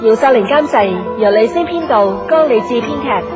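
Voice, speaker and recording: A woman's voice: a little high, animated, moderate pace, loud music behind the voice. A woman reading scripted radio drama credits as the announcer.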